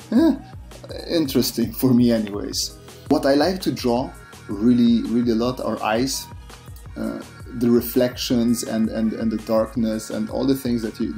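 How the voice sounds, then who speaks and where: Gravelly, low-voiced man, relaxed. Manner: relaxed, self-deprecating, many fillers and restarts. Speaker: artist and channel host. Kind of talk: casual voice-over commentary on a drawing process.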